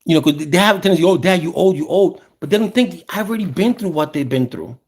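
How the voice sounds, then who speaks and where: A man of about fifty with a gravelly voice, talking casually and with opinions, often repeating phrases for emphasis. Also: high voice, expressive, fast talker. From South Bronx, New York, USA. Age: around 50. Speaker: host.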